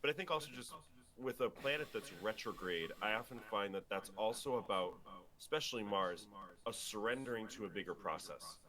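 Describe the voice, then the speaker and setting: Thoughtful low-voiced man musing. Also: lively intonation, brisk, sharp tone. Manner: thoughtful, searching for words, repeats himself. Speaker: astrologer guest. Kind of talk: casual discussion.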